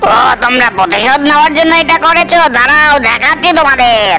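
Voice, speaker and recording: Woman playing a coaxing, bossy cartoon animal wife, high voice, exclaiming delivery, clear music bed. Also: fast, lightly acted. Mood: angry scolding. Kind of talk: exaggerated cartoon voice acting. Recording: music behind the voice.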